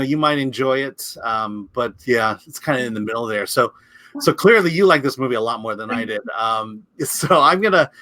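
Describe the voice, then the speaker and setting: A man's voice: medium pitch, bright, forceful tone, dry recording. A man chatting casually as a film critic, speaking hesitantly and often repeating his words as he reviews.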